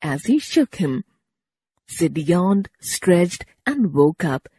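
A woman narrating a children's story in a measured, expressive storybook style. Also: on the low side, breathy edge, no echo.

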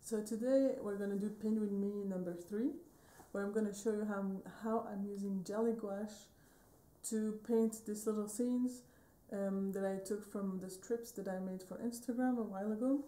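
A woman in her thirties from Switzerland, chatting casually about her painting in a relaxed, rambling way, with many fillers and small self-corrections. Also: mid-range voice, moderate pace. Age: 30s. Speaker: painting vlogger. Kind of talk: casual tutorial chat. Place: home studio room, indoors, wooden shelves. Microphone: phone camera at desk distance.

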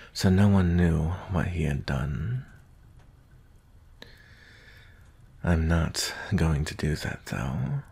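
A man playing a gruff but tender werewolf bounty hunter in an ASMR roleplay, soft-spoken and gentle, with hesitant pauses. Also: heavily acted. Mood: low, brooding. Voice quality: very deep, gravelly, husky.

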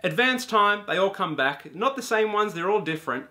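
A young man giving an animated, casual spoken review to camera in a small room, full of ums and casual swearing. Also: high voice, normal speed. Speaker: video game reviewer. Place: small indoor room with a brick wall and shelves.

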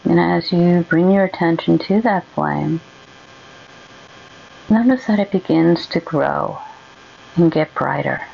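A woman guides a meditation very slowly, in a gentle voice with a gravelly creak. She leaves long pauses between soothing instructions. The recording is slightly dull and muffled. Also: fairly low pitch, slight background noise.

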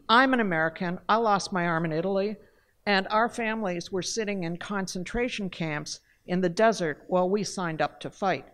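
An older woman from Canada, speaking on a panel in a large hall into a table mic, telling her story earnestly and with emphasis. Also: fairly low pitch. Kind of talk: panel discussion talk. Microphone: table mic on a stand.

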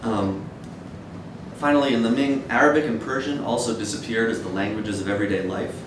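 A man in his thirties giving an academic lecture in a measured, hesitant way. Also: fairly even intonation. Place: dim wood-shelved seminar room with audience, indoors. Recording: echoey room, distant mic, noisy rumble.

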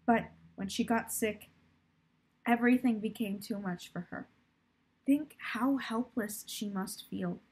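A steady, caring woman from a storybook, read aloud by a woman. She consoles and explains gently. The voice is husky and a little high. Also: slow, full character voice, low-quality recording. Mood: concerned, explaining.

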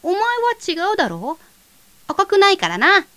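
A woman playing a small, naive, blustering nine-year-old boy wolf in an audio drama, with rough, boastful speech and flustered stammers. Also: extremely high pitch, sing-song, moderate pace, pushed and bright, full character voice. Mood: proud, boastful. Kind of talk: scripted audio drama acting.

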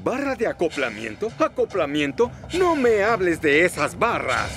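Vieja locomotora de vapor vivaracha y aguda. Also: male voice, high-pitched, wildly swooping pitch, moderate pace, fairly bright tone, heavily acted. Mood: rousing. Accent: Latin American. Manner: theatrical, exclamatory speechmaking. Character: spirited old steam engine, cheeky and rousing storyteller. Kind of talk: animated children's cartoon acting.

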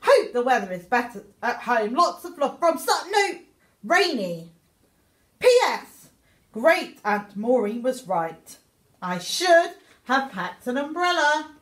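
A woman's voice: high voice, sing-song, slow, fairly bright tone. A woman reading a children's picture book aloud in an exaggerated, theatrical way, as a young meerkat writing letters home. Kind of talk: expressive children's story reading. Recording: echoey room.

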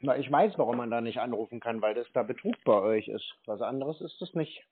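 Male caller, mid-pitched, colloquial and stubbornly direct, heard through thin phone-line audio. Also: a little fast, breathy edge. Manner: insistent, blunt, colloquial. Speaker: caller confronting a call centre. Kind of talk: phone conversation. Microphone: telephone line. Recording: tinny, narrow-band phone call recording.

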